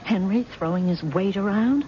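A woman's voice: mid-range voice, normal speed, dark tone, natural voice. An actress playing a long-suffering wife, restrained and reproachful toward her overbearing husband, in a radio drama. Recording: muffled old radio broadcast, faint hiss.

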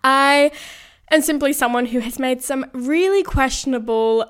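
A woman hosting a solo podcast, her voice slightly croaky from being unwell, talking earnestly with frequent light laughs. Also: sits high, measured pace, a bit bright. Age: 20s. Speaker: podcast host. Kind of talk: casual solo podcast monologue.

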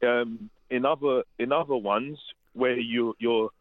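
A man's voice: medium pitch, fairly even intonation, a little slow, dry recording. A British man giving casual, colloquial, unscripted answers as an interview guest over the phone. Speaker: interview guest, former prisoner. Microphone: phone call. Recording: narrow, tinny phone line.

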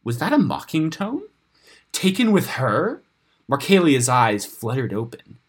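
Husky-voiced man narrating a fantasy story. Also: mid-range voice, wildly swooping pitch, a little slow. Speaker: story reader on a podcast.

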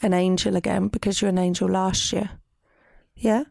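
Woman, podcast-host mum, mid-pitched, playfully coaxing a child through a casual interview. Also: flat delivery, normal speed, creaky, a little mellow, no room sound, clean studio sound. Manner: encouraging, playful prompting.

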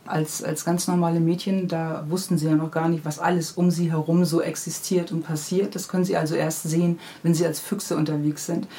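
A woman's voice: sits low, flat delivery, a little fast, hardly any pauses, warm tone. A middle-aged woman, telling anecdotes reflectively as an author being interviewed. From Hamburg, Germany. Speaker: guest, children's book author. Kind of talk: conversational interview storytelling.

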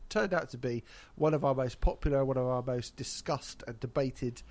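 A man's voice: medium pitch, moderate pace. A man hosting a casual podcast, congested from hay fever, wry and chatty. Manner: wry, chatty, laughs at own jokes. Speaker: podcast host.

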